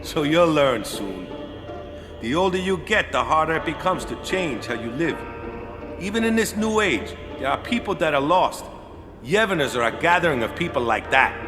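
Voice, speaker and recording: Game drama: easygoing, blunt older guardian man, colloquial talk, higher-set voice, music underneath. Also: big pitch swings, moderate pace. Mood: patient explaining. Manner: colloquial, tags sentences with "eh" and "yeah". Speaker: voice actor in a game audio drama. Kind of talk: acted audio drama dialogue. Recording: music behind the voice.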